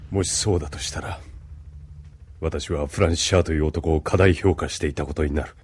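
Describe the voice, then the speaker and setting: A man's voice: a bass voice, steady tone, brisk, husky. An actor playing a stern, proud male military colonel in an anime audio drama. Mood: cold, composed.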